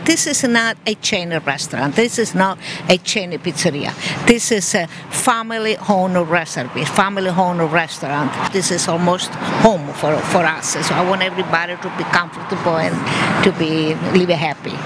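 A woman's voice: fairly low pitch, normal speed. A woman with an Italian accent, a restaurant owner speaking English as a second language in a promotional clip. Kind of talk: promotional talk. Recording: loud music over voice, traffic and vehicles.

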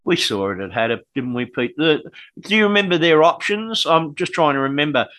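A man's voice: fairly high pitch, animated, fairly quick, sharp tone, no echo. An Australian man co-hosting a casual radio chat, rambling and joking as he talks. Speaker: co-host.

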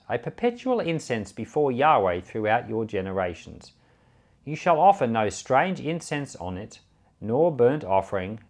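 A man reads scripture aloud in a medium-pitched voice. His intonation rises and falls widely as he stresses phrases. The delivery is measured and solemn. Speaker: Bible reader and podcast host.

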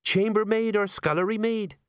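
A man voicing a slow-witted but kindly police sergeant in an audiobook, plain-spoken and puzzled. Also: sits high, steady tone, fairly bright tone, clear, resonant tone, no echo, studio quality, pitched above the performer's own voice. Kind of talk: audiobook character acting.

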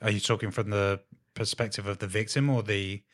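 A man's voice: on the low side, flat delivery, a little fast. A man hosting a podcast interview in a casual, informal and inquisitive way, with some swearing. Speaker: podcast host.

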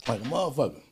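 A middle-aged man chatting casually as a podcast host, telling animated stories and laughing often. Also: mid-range voice, a little hiss or hum. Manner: animated storyteller, loud bursts when quoting himself, frequent laughter. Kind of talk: casual chat, storytelling.

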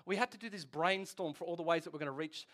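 High-voiced chatty pastor. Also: male voice, quick pace, a bit bright. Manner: chatty, jokey, asks the audience questions. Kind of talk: conversational sermon.

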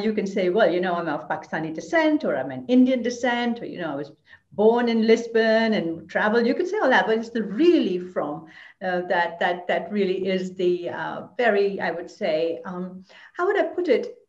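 A woman's voice: mid-range voice, sing-song, moderate pace, darkish tone, roomy sound, highs cut off. A woman commentator answering interview questions thoughtfully, with many fillers and restarts. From Belgium.

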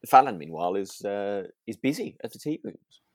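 A man's voice: fairly low pitch, lively intonation, moderate pace, darkish tone, no echo, clean studio sound. A British man co-hosting a podcast, chatting casually about a drama in a rambling, hesitant way. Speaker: podcast co-host.

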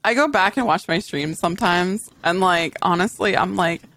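A woman with a low voice that has a lot of bass, guesting on a podcast in loose, laughing, swear-filled chat. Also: moderate pace, fairly bright tone, dry recording.

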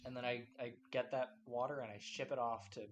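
A man's voice: mid-range voice, flat delivery, brisk, a little hiss or hum. A man hosting a podcast in a casual chat, rambling and playful with lots of restarts. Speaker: podcast host.

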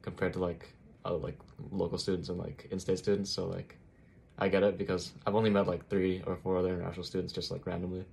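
A man's voice: low voice, flat delivery, fast talker, vocal fry, darkish tone. A young man from Thailand, an international college student, chatting easily in an interview and leaning on "like" fillers. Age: young adult. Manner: easygoing, heavy use of "like" fillers. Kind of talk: casual interview chat.

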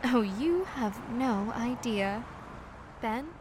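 An actress playing a young, affectionate mermaid passing as human in an audio drama, with a soft, coy way of talking. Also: female voice, a little high, slow pace. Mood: tender, coy. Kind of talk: naturalistic audio drama dialogue. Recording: clear background noise.

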